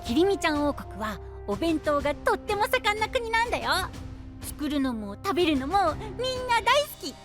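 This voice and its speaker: A man playing a cute, earnest young boy knight in an anime audio drama, speaking in soft, guileless phrases. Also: very high voice, sing-song, normal speed, music in the background. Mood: worried, earnest.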